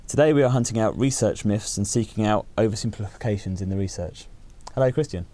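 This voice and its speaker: A man's voice: lowish voice, fast, warm tone. A man hosting a podcast, asking loosely phrased questions in a conversational way. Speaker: podcast host. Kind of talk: podcast interview.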